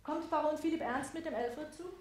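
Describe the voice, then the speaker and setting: An actress playing a haughty, headstrong young baroness in a 1960s German radio play, with a clipped, imperious, well-bred manner. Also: female voice, high voice, fairly quick, a little mellow, close to an everyday voice. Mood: imperious, challenging. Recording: faintly noisy vintage radio recording.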